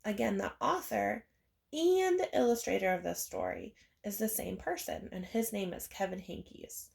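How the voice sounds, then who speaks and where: A woman reading a children's picture book aloud in an expressive storytelling style, with deliberate, emphasized phrasing. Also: medium pitch, creaky, slightly rough. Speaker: read-aloud reader.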